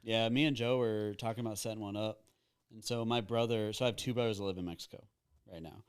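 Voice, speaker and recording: A man's voice: on the low side, steady tone, fast, smooth. A man telling a rambling story in casual chat among friends on a podcast, often laughing as he talks. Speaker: podcast guest.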